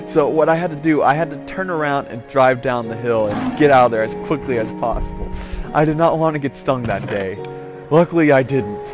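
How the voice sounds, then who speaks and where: A man with a mid-pitched voice chats casually as a gaming host. He rambles through his storytelling, stopping and restarting phrases as he goes. Faint music plays behind him. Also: brisk. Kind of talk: casual storytelling over gameplay.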